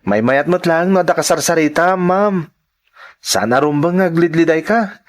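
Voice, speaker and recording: Casting: actor for polite lovestruck male student, slightly high voice, Filipino accent, respectful and earnest, radio drama. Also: moderate pace, close to an everyday voice. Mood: shy, pleading. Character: devoted polite young male student admirer. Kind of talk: Ilocano radio drama acting.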